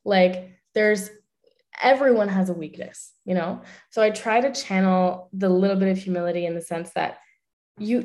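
A woman's voice: mid-range voice, a little mellow. A young woman with a French accent and French as her first language, an actress talking candidly and swearing freely in a casual interview. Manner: candid, swears freely, talks in quick bursts. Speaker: voice actress and social media manager.

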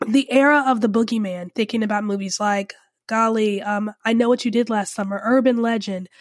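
A woman's voice: on the high side, steady tone, brisk, no echo, clean studio sound. Chatty stuffed-up woman podcast host. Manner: chatty and rambling, many fillers. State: stuffed up from a cold. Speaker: podcast host, film reviewer. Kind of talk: casual film commentary.

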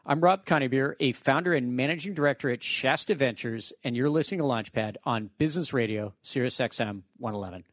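Husky-voiced male radio host. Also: medium pitch, fairly quick, hardly any pauses, dry recording, clean studio sound. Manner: conversational questions, scripted break announcements. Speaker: radio show host, venture investor. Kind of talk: radio interview hosting.

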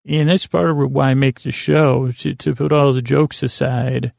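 A man with a creaky voice hosting a podcast, improvising a rambling bedtime monologue, halting and stammering as he repeats words. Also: medium pitch, fairly even intonation, darkish tone, no room sound, studio quality. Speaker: podcast host.

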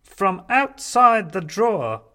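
A man voicing a big toy henchman who speaks solemnly in slow rhyming chant. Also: high voice, very animated, sharp tone, pitched above the performer's own voice, exaggerated voice.

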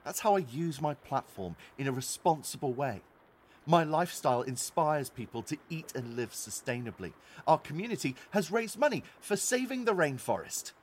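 An actor playing a sanctimonious young activist influencer held captive, preachy and indignant, in an audio drama. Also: male voice, a little high, big pitch swings, normal speed. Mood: self-righteous.

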